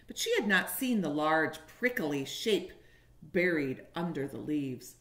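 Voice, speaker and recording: A woman's voice: low voice, unhurried. A woman in her forties reading a picture book aloud expressively and talking to young children. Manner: animated, explaining and questioning for young children. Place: living room, indoors. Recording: echoey room.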